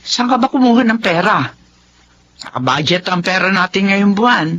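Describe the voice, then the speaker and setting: Casting: practical caring wife and mom, very lively intonation, Filipino radio drama, dull recording. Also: female voice, medium pitch, normal speed. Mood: wary. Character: Dina, practical, caring, questioning wife and mother.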